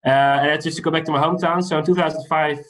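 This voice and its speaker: A man's voice: fairly high pitch, fast talker. Dutch guy in his 30s lecturing over a video call, easygoing, lots of ums. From Netherlands. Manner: relaxed, hesitant with many fillers. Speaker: guest lecturer. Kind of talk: online lecture.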